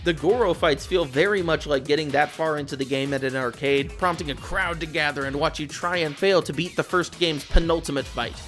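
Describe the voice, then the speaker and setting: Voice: medium pitch, brisk, hardly any pauses, fairly bright tone, soft background music. A video essayist reading a scripted film review in a casual, opinionated, sweary way.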